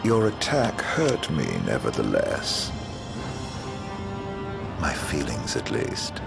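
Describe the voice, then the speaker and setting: A man's voice: very deep voice, huge pitch swings, takes it slowly, vocal fry, heavily acted. A man playing a smug, theatrical immortal villain in a video game, mocking with silky mock politeness. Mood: mocking menace. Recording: music behind the voice.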